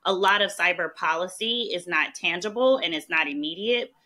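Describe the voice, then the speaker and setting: A woman's voice: lowish voice, moderate pace, bright, forceful tone. A woman from Mississippi with a Southern accent, a podcast guest telling her story in a chatty way, with frequent "um" and "right?". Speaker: podcast guest, policy expert. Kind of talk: conversational interview.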